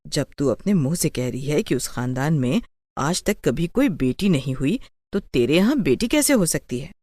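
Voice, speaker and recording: Woman putting on a low, chiding older mother. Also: big pitch swings, normal speed, a little mellow, no echo. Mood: scolding. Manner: chiding, firm. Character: sensible, scolding older village mother. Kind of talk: dramatised Hindi moral story acting.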